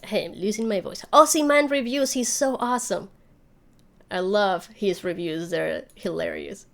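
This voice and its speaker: A woman's voice: medium pitch, moderate pace, creaky, fairly bright tone. A woman hosting a livestream, chatting casually and rambling as she thinks aloud while answering viewer questions. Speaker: YouTube channel host.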